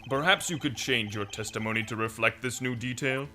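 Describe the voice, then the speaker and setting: A man's voice: medium pitch, fairly quick, sharp tone, soft background music, natural voice. A man voicing a solemn, formal, slightly muddled courtroom judge in an amateur game fandub.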